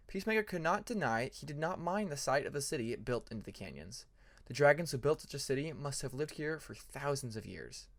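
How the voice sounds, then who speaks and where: A man narrating a fantasy story like an audiobook, in an expressive, dramatic manner. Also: a little high. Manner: expressive, acts out dramatic passages. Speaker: fan fiction narrator.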